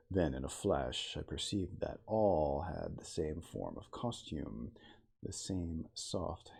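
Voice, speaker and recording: Man playing a dignified captain, deep and gravelly. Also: mellow, heavily acted. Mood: pensive, intrigued. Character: dignified male starship captain reading a storybook. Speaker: cosplayer reading aloud as a character. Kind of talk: ASMR audiobook reading of a classic novel.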